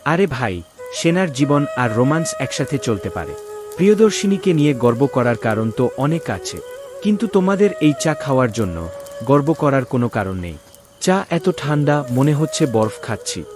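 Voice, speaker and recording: A man acts a young army captain for a cartoon drama, proud of his country and romantic at heart. His mid-pitched voice moves animatedly, with good humour and faint music behind it. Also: sing-song, normal speed, lightly acted. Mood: playful, earnest. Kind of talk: cartoon story voice acting.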